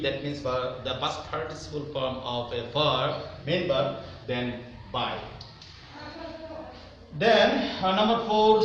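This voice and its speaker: Higher-voiced male teacher in an echoey room. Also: fairly bright tone. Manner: didactic, emphatic, repeats key phrases. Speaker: English grammar teacher. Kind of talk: online classroom grammar lesson. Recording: very echoey room, faint noise.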